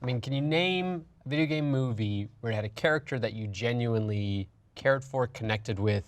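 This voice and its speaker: A man's voice: medium pitch, normal speed, dry recording. A man talking casually as a panelist in a conversational discussion. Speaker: panelist on a video game movie show.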